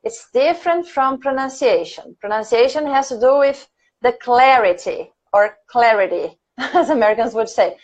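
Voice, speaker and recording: A Brazilian woman whose first language is Portuguese leads a relaxed English conversation lesson, chatting and laughing as she thinks aloud. Her voice sits on the high side. The sound is dull and lo-fi, like a stream over the internet. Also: normal speed. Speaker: English teacher. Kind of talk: casual conversation class on a livestream.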